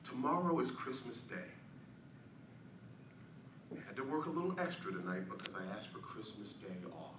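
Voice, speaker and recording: Far off n echoey, guy acting as poor victorian dad reading his diary, earnest n dramatic, lots of pauses. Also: medium pitch, very animated, close to an everyday voice. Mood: humble, tender. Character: poor, humble, devoted Victorian father and clerk, grateful diarist. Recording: echoey, noisy, muffled recording from far off.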